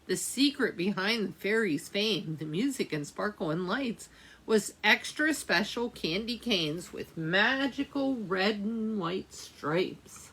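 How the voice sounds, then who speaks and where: A woman reading a picture book aloud to young children as a library storytime host, with dramatic, drawn-out phrasing and chatty asides. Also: medium pitch, expressive, a bit bright, slightly rough, rough recording.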